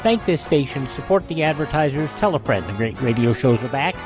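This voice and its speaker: A man's voice: mid-range voice, lively intonation, fairly quick. A man hosting a radio program with scripted introductions and sign-offs. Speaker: radio show host. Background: faint music.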